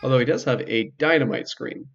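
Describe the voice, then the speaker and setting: A man's voice: mid-range voice, fairly quick, vocal fry, background noise. A man giving casual let's play commentary as the host of a video game playthrough. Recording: slightly muffled sound, music behind. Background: game music.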